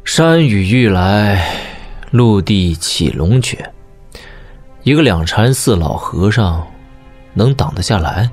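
A man playing a young, easygoing wandering gentleman swordsman in an audio drama. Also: lowish voice, animated, takes it slowly, soft background music, full character voice. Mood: brooding. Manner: casual, friendly.

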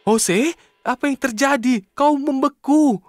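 A man's voice: extremely high pitch, slow, no echo, clean studio sound. An actor playing a patient, encouraging male helper in an Indonesian children's cartoon, instructing and reassuring. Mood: alarmed.

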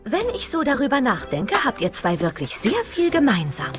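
A woman playing a kind, encouraging, upbeat young big sister in an animated film dub. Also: high voice, normal speed, over music. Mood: gentle, coaxing.

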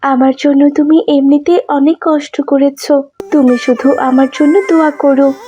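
High-voiced, devoted young sister acted by a woman. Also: fairly even intonation, moderate pace, dark tone, close to an everyday voice. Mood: grateful, gentle. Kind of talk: cartoon voice acting.

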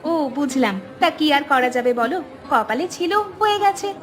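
Very high cheerful young student played by a woman. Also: lively intonation, moderate pace, a bit bright. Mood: warm, chatty. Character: cheerful, affectionate young female student back home. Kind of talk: cartoon fairy-tale acting. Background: music.

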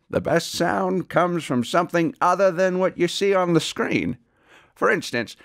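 A man's voice: medium pitch, fairly bright tone, no echo, studio quality. Man playing pompous, swooping old-Hollywood sound artist. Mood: pompous, wistful. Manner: pompous, earnest, savouring words. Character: genteel, pompous male veteran Hollywood sound-effects artist, self-serious. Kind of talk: comedy sketch acting, mock interview.

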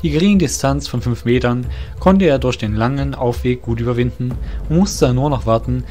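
A man's voice: medium pitch, normal speed, mellow. A man reading scripted fantasy narration for an audio drama. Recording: music under the voice. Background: music.

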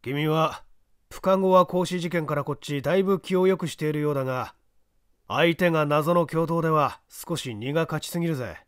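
A man's voice: a little high, moderate pace, clean tone, no echo, studio quality, natural voice. A man voicing a condescending police detective chief with a blunt, teasing manner in a mystery audiobook. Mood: mocking. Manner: blunt, patronizing.

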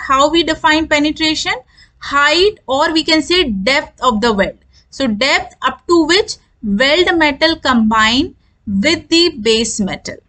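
Female lecturer, high-pitched and sharp, methodical technical explainer who restates key points. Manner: repetitive, deliberate explaining.

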